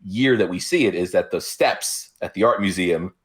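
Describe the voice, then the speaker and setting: A man in his forties co-hosting a casual podcast, explaining his points in a measured, thoughtful way. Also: deep voice. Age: 40s. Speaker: podcast co-host.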